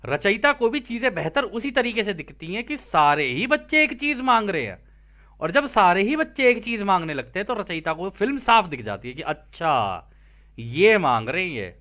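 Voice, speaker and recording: A man's voice: high voice, sing-song, moderate pace, bright, forceful tone. A man teaching spiritual material, reading aloud and explaining it informally, checking in with listeners through rhetorical questions.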